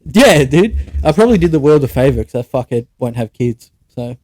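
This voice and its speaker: A man's voice: medium pitch, big pitch swings, a little fast, darkish tone, no echo. A young Australian man co-hosting a podcast, telling stories in a casual, sweary and blunt way. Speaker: podcast co-host. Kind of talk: casual chat, anecdotes with mates.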